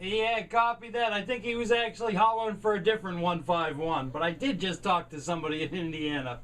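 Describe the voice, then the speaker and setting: A man's voice: sits high, steady tone, fairly quick, fairly bright tone. An American man making contacts as a CB radio operator, trading call numbers and sign-offs in a friendly way. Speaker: CB radio operator and video maker. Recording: noisy, distant mic with radio static.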